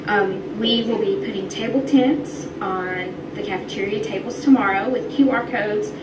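A woman's voice: mid-range voice, moderate pace. Distant, echoey woman teacher explaining. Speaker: middle school teacher. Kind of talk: interview answers, explaining. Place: classroom, replayed on a projection screen. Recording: distant echoey re-recorded playback, noisy room.

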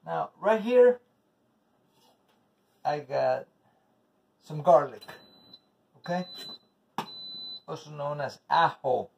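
A slow-talking, gravelly man with a Spanish accent cooks in his home kitchen, rambling and cursing bitterly as he goes. The camera sits across the counter, so he sounds a little distant, with a faint hiss behind him. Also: fairly high pitch, lively intonation, darkish tone, lo-fi sound. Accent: Spanish-speaking, English as second language. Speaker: home cook vlogger. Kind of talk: casual cooking video with ranting. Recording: voice from off-mic with faint noise.

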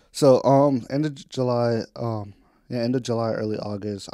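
Dude in his 20s rambling about life, low mellow voice, dry close sound. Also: no room sound. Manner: rambling, candid, drifts between topics; casual slang and swearing. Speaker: vlogger. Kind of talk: casual catch-up podcast talk. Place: home.

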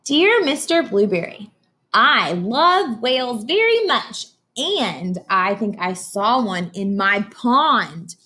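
A woman voicing an earnest, excited little girl in a storybook, reading letters slowly in a sing-song way. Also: fairly high pitch, heavily acted. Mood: eager, earnest.

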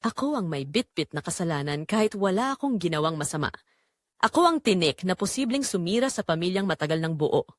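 A woman reading a scripted first-person dramatic story in an emotive, measured storytelling manner. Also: on the low side, no room sound, clean studio sound. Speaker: narrator.

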